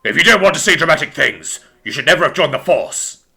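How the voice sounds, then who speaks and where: A man's voice: a little high, very animated, fairly quick, bright, forceful tone, voice pushed up, full character voice. A man voicing an angry animal police chief in a story, barking out commanding orders. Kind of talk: dramatic storybook character voice.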